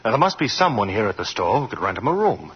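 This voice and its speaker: An actor playing a reasonable, reassuring physician in 1940s radio drama. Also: male voice, fairly low pitch, big pitch swings, fast talker, breathy edge, exaggerated voice. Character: reassuring, reasonable physician defending his patient. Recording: muffled 1940s radio broadcast.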